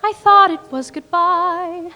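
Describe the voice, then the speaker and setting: A woman's voice: very high voice, steady tone, slow, heavily acted. An actress in a staged musical reading, playing a distraught scientist mother woken from cryo sleep, speaking and singing. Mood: hopeful, singing. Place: live theatre stage. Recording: echoey theatre stage, loud piano accompaniment.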